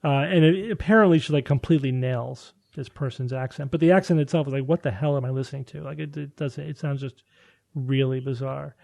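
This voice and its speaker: A man's voice: medium pitch, fairly even intonation, brisk, creaky, darkish tone, no room sound. A man with a Southwestern Connecticut accent, hosting a podcast in casual, rambling panel chat. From Connecticut, USA. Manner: rambling, chatty, restarts often. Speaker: podcast host.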